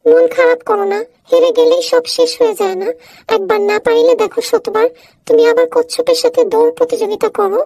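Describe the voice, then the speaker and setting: A woman's voice: very high-pitched, steady tone, fairly quick, creaky, mellow, rough recording. A woman voicing a kindly animal friend in a children's cartoon, encouraging and coaxing.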